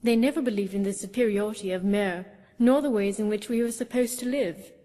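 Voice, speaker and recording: A woman's voice: medium pitch, a little fast, dark tone, in a large hall, close to an everyday voice. A woman voicing a spirited, outspoken young elf adventurer in a fantasy video game. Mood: proud, matter-of-fact.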